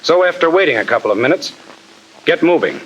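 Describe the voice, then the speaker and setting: An actor playing a tough-talking, slangy army sergeant who lectures in a 1950 military training film. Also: male voice, on the high side, sing-song, fairly quick, a bit bright, highs cut off, a little hiss or hum. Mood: blunt, reassuring. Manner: blunt, slangy, wisecracking. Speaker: instructor.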